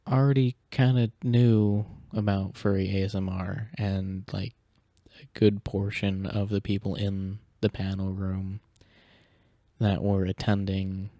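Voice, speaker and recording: A man with a low, deep, soft-spoken voice, relaxed and rambling as he talks like an ASMR creator. His tone is dark and mellow, and he slips now and then into whispers right up against a sensitive microphone. The sound is dry and close, with no room echo. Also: gravelly.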